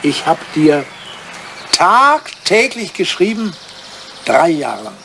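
A man's voice: fairly high pitch, big pitch swings, takes it slowly, vocal fry, bright, forceful tone, a little hiss or hum, full character voice. An older man acting a lonely, lovelorn English lord in a German radio drama, insistent and theatrical in his phrasing. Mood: wistful. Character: wistful old English lord, lonely lovelorn bachelor writer.

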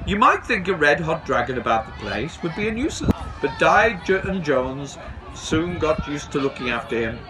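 Middle-aged male storyteller, expressive and very varied in pitch, slightly high voice, children's storybook reading. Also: moderate pace, a crowd in the background, poor audio. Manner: animated, expressive. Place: outdoors on a garden bench.

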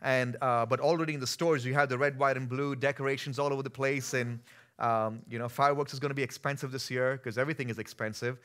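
Indian-accented man preaching with bright force. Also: mid-range voice, steady tone, fast talker. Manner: conversational, rising to forceful emphatic preaching. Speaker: pastor. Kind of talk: sermon. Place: dim church stage.

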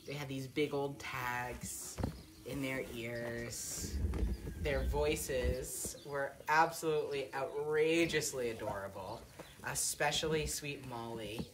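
A woman with a low voice talks casually on a live stream from an outdoor barnyard. She rambles with fillers and laughs easily. The phone recording carries clear outdoor noise and birdsong. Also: steady tone, takes it slowly. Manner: chatty, laughing, many fillers. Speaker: sanctuary livestream host.

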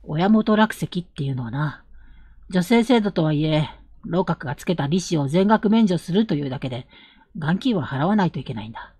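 Protective elder brother, played by a woman: young man, husky low register, measured and earnest, period audio drama. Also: animated, dark tone, no room sound. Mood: gentle, serious.

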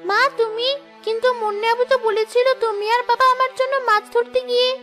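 A woman's voice: extremely high pitch, fairly even intonation, a little fast, fairly bright tone, soft background music. A woman voicing a frail, pleading little girl in a cartoon, plaintive and childlike. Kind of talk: Bengali cartoon voice acting.

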